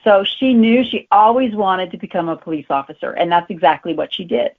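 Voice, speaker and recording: A woman's voice: mid-range voice, expressive, fairly quick. A woman with a mid-range voice, heard over a thin phone-like line. The speech is conversational, that of an author being interviewed as a guest. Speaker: guest novelist.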